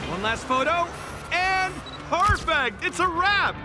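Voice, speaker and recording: An over-eager photographer who keeps calling out praise. His voice is very high and bouncy, a bit distant, with music playing under it. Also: sing-song, takes it slowly, heavily acted. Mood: excited. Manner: enthusiastic, encouraging shouts. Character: excitable photographer on set. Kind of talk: video game cutscene voice acting.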